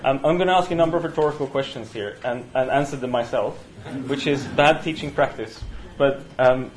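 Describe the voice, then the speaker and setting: A man with a Norwegian accent leading a university study session, talking in a halting way with many fillers and restarts. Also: medium pitch, a little hiss or hum, poor audio. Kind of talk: university seminar lecture. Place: university room. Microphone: single room mic.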